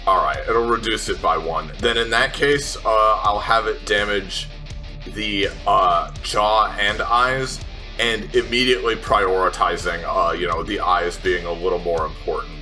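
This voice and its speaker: A man playing a tabletop game, casually narrating his character's moves and thinking aloud haltingly. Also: fairly low pitch, pushed and bright, soft background music.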